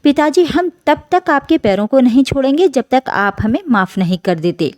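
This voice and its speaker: A woman's voice: high-pitched, expressive, normal speed, a little mellow, dry recording, clean studio sound. A woman voicing a repentant adult son in a family story, humble and beseeching. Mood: pleading. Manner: deferential, beseeching. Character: repentant grown son, humbly begging his parents.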